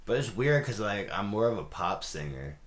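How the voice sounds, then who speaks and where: A raspy-voiced young man hosting a podcast in his home studio, chatting loosely with lots of fillers and laughs. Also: on the low side, very animated, normal speed, roomy sound. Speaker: podcast host and singer. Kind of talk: casual chat.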